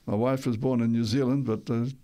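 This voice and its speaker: A man's voice: mid-range voice, steady tone, brisk, warm tone. Older man recounting his life stories. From Tonga. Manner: rambling, with restarts and self-corrections. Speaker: interview guest.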